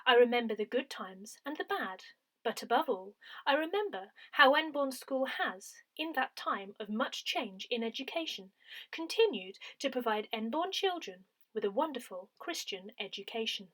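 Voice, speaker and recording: A woman reading a scripted parish newsletter aloud in a deliberate, clearly phrased announcing manner. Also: fairly high pitch, animated. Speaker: newsletter reader.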